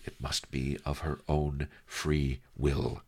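Wry, unflappable old sage in a storybook, a man doing him; low, gravelly voice. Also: takes it slowly, heavily acted. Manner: calm, dry, reasoning tone. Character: wily old male sage, calm and wry.

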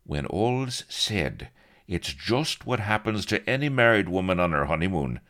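Man doing a sarcastic rogue, way higher than his normal voice, loud and jumpy. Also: sing-song, normal speed. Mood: flippant. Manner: flippant and sarcastic. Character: cynical wisecracking male rogue pirate.

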